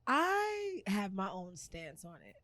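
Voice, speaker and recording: A woman, speaking bluntly and frankly in a casual podcast conversation. Her voice is mid-pitched, and the recording is dry and close-miked. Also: wildly swooping pitch, takes it slowly, fairly bright tone, no room sound. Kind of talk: casual chat.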